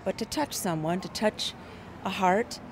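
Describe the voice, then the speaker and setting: A woman guest singer speaking in an interview, soft-spoken and halting, with many pauses. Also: mid-range voice, slight background noise. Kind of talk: interview conversation.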